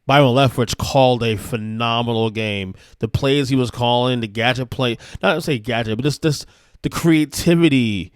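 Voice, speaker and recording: Male podcast host, early forties, mid-pitched, chatty and loose, often restarting his sentences. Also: normal speed, smooth, no echo, studio quality. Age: 40s. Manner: rambling, self-correcting, chatty. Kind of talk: casual solo sports talk.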